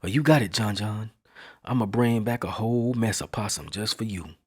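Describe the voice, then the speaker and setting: A man voicing a cocky, eager fifteen-year-old boy who talks in a hushed, eager way. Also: lowish voice, moderate pace, breathy edge.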